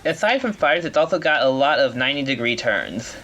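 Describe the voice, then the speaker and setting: A man's voice: mid-range voice, sing-song, a little fast, a bit bright, slight background noise, low-quality recording. Man commentating his own speedrun. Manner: explains while playing, reacts to mistakes, self-correcting. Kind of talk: live commentary while playing.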